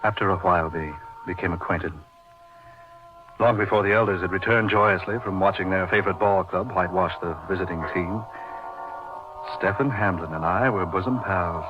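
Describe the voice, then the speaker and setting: An actor playing a haunted, intense man recounting his strange past in an old radio horror drama, with florid theatrical delivery and dramatic pauses. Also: low-pitched, lightly acted. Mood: grave, remembering. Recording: dull old radio recording with music behind. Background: dramatic music.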